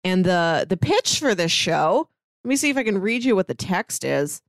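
A woman's voice: on the low side, sing-song, moderate pace, dry recording, studio quality. A woman co-hosting a podcast, chatting casually through a review in a conversational way, peppered with "like". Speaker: podcast co-host.

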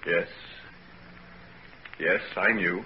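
A man acting an earnest, secretive young gentleman in a 1940s radio drama. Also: deep voice, steady tone, extremely slow, lo-fi sound. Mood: casual, guarded. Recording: noisy old 1940s radio recording.

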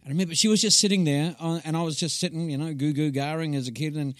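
Lively New Zealand man telling stories. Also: on the high side, fast, no room sound, studio quality. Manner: animated storyteller who laughs through anecdotes. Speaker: guest, inspirational speaker. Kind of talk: casual interview chat.

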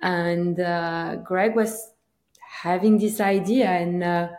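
A woman's voice: lowish voice, steady tone, slow, darkish tone. A woman from Belgium with a Belgian accent, telling her story conversationally as an interview guest. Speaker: guest, company founder. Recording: roomy, echoing sound.

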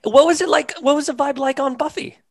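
A podcast co-host asking gushing, excited questions of a guest. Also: high voice, fairly quick, gravelly. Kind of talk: enthusiastic podcast hosting.

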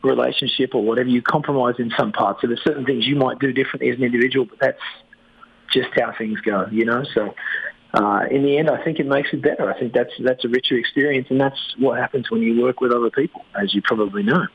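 A man's voice: medium pitch, fairly even intonation, fast talker, creaky, slightly rough, phone-quality sound. A man with an Australian accent, a band's lead singer, chatting casually and joking in a radio interview. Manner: laid-back, joking, says "mate". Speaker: band lead singer, guest.

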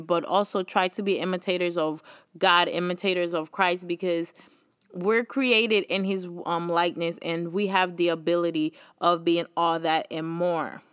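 A woman's voice: on the low side, fairly bright tone, smooth, no echo, clean studio sound. A young woman with a Haitian accent hosting a faith podcast, talking casually and earnestly, encouraging listeners with many fillers. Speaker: podcast host.

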